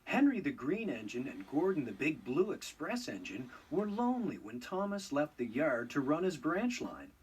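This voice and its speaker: A man narrating a children's read-along storybook in an expressive, scripted storytelling style. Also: a little high, moderate pace, few pauses, husky, recorded from a distance.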